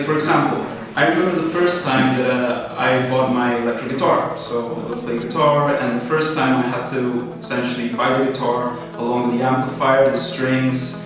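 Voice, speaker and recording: Peruvian-born young man, echoey and distant. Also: mid-range voice. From Peru. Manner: relaxed, thoughtful, many fillers. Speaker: startup founder. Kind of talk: interview answers. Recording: echoey room, far from mic, music behind.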